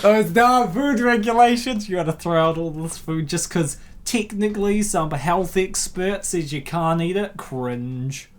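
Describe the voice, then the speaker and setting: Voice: medium pitch, measured pace, hardly any pauses, slight background noise. A co-host narrating a comic dub and reacting casually, with an ironic, teasing manner.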